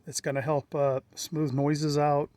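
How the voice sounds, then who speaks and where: A man's voice: fairly high pitch, flat delivery, a little slow, a little mellow, no echo. A middle-aged American man giving a casual, unscripted walkthrough in a plain-spoken, matter-of-fact way. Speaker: hobbyist presenter.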